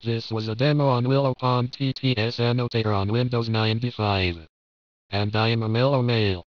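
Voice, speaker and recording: A synthetic, robotic male text-to-speech voice reading a software demo. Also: mid-range voice, fairly even intonation, normal speed, dry recording. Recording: dull lo-fi computer audio.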